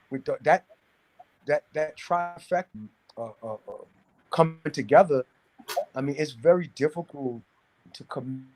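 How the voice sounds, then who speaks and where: A man from New City, talking casually and giving opinions in a halting way, repeating words as he searches for phrasing. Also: medium pitch, rough recording. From New York City, United States. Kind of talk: casual discussion, opinionated talk.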